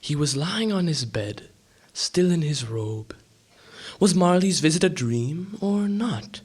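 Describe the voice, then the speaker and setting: Husky, expressive radio drama narrator. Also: mid-range voice, slow. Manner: dramatic storytelling. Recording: music behind the voice.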